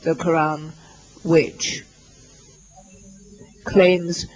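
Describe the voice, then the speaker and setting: A man interpreting live at a university lecture, halting often with fillers and restarts as he renders the speakers' words. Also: a little high, little pitch movement, low-quality recording. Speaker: simultaneous interpreter. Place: lecture hall.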